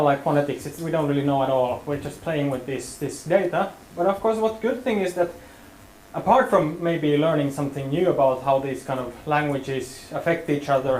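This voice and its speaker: A man's voice: fairly high pitch, a little mellow, echoey room, a little hiss or hum, rough recording. A man leading a hands-on workshop tutorial, talking casually and hedging with many fillers. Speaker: workshop instructor.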